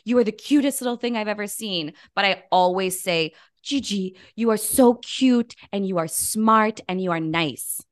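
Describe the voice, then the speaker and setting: A young woman co-hosting a podcast, chatting casually, bubbly and quick to laugh, with some offhand swearing. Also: medium pitch. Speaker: podcast co-host. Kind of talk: casual chat.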